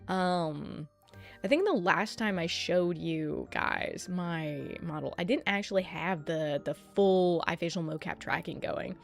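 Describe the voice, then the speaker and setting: A woman's voice: lowish voice, lively intonation, slow, vocal fry. A woman livestreaming in a casual way, chatting with her viewers, swearing often and laughing a lot, with a sleepy voice. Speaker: streamer. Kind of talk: casual livestream chat while setting up a 3D model. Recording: faint music underneath.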